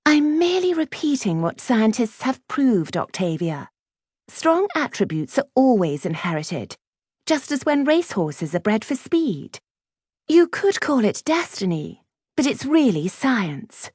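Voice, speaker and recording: A haughty schoolgirl of high birth, acted by a woman narrator, speaks in a raised, swooping voice. She is prim, spiteful and cutting, in storybook style. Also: big pitch swings, measured pace, a little mellow, slightly rough, no room sound, clean studio sound, heavily acted. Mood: coldly superior. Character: haughty, spiteful young lady of quality, a schoolgirl.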